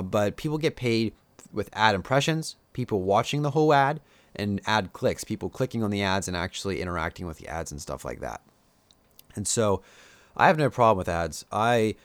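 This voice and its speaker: Low-voiced rambling man with Pennsylvania Dutch accent. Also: no echo. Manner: rambling, filler-heavy, opinionated. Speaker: YouTuber. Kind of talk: casual live-stream commentary.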